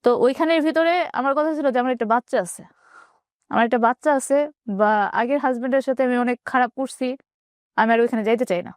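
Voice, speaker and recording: A woman with a Bangladeshi accent telling her personal story in an interview, haltingly and with repeated phrases. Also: sits high, no room sound, clean studio sound.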